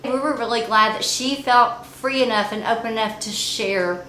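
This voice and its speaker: A middle-aged woman telling family stories in a chatty, animated way as a regular on a family channel. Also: on the high side, moderate pace. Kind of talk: casual storytime chat. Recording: echoey room with faint noise.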